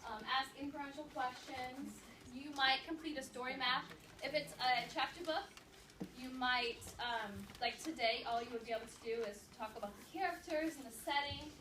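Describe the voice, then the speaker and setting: A woman speaking as a teacher, reading aloud and instructing a class with an animated, questioning manner. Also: high voice, normal speed. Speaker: teacher demonstrating an interactive read-aloud. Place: indoor classroom. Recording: roomy classroom, distant mic, background noise.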